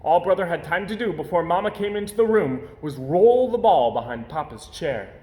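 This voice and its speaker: Animated man reading a storybook, roomy sound. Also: sits high, normal speed. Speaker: storybook reader. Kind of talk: expressive children's read-aloud narration. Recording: roomy echo, faint background noise.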